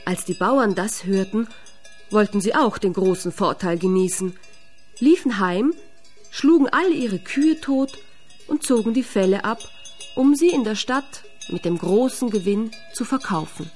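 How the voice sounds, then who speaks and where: A woman narrating a fairy tale in a radio drama, measured and expressive. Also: medium pitch, faint music behind. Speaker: storyteller.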